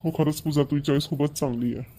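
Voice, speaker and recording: A man's voice: fairly high pitch, steady tone, fast, dark tone. A man voicing a young husband eager to please, with an agreeable, admiring manner.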